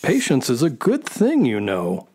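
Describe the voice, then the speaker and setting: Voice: mid-range voice, wildly swooping pitch, measured pace, darkish tone, full character voice. A performer playing a teasing, patient companion to a young space explorer, with a dry, reassuring manner, in a children's audio drama.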